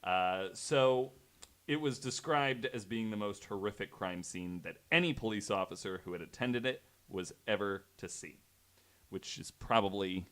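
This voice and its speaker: Low-pitched man telling a story casually. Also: measured pace, a bit bright. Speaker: podcast panelist telling a true story.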